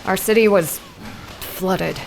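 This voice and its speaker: An actress playing a composed captive ship captain in an audio drama, guarded and quietly defiant. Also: female voice, medium pitch, slow pace, creaky, slight background noise.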